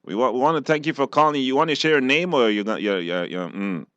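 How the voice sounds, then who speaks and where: A man's voice: medium pitch, quick pace, fairly bright tone, smooth, muffled recording. A man with a Belizean accent hosting a live radio call-in show and talking to guests and listeners.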